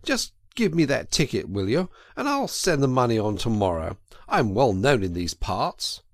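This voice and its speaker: A man voicing a pompous gentlemanly toad from a storybook, speaking in a lordly manner like a country squire. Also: mid-range voice, big pitch swings, moderate pace, dry recording, clean studio sound. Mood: blustering, lordly.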